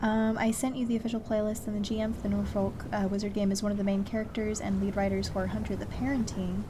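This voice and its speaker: A woman's voice: medium pitch, little pitch movement, fast, noisy background. A woman streaming a video game, chatting casually to viewers and muttering questions to herself as she thinks aloud. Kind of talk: casual live gameplay commentary, chatting to viewers. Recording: game sound effects behind voice. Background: bleating sheep and game ambience.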